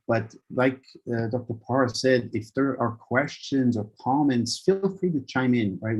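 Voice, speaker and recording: Husky-voiced Swiss man presenting a webinar. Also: mid-range voice, a little mellow, muffled recording. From Switzerland. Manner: friendly, conversational, uses "right?". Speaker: guest speaker, professor.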